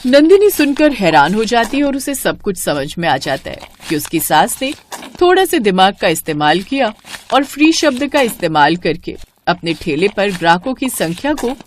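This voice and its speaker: A woman narrating a scripted moral story in an expressive storytelling manner. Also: medium pitch, normal speed, a little hiss or hum.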